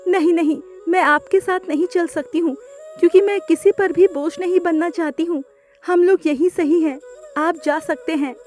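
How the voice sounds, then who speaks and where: Woman acting a cartoon mother bird, very high voice, anxious, plaintive, tearful, music behind. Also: fairly even intonation, moderate pace, a little mellow. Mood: reluctant. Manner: plaintive, lamenting. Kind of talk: children's cartoon dialogue.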